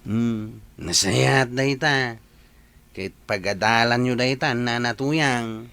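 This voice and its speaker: A man's voice: mid-range voice, takes it slowly, creaky, a bit bright, clear, resonant tone. A man playing a frank younger villager who admonishes his elders in a radio comedy drama, with an Ilocano accent. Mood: admonishing, reassuring.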